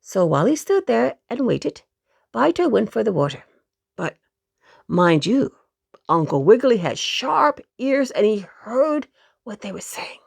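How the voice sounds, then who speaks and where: Female children's storyteller, high-pitched and slightly creaky, dramatic emphasis, talks straight to the kids. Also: very animated, unhurried, darkish tone, no echo. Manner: addresses young listeners directly, dramatic emphasis. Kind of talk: expressive storybook narration for children.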